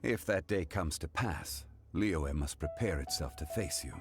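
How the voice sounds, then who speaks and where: Dignified immortal gentleman, game role: low-pitched, composed, formal, measured delivery. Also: animated, exaggerated voice. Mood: stately, resolute. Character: ancient former god living as a calm, dignified gentleman. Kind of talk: video game voice acting. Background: music behind the voice.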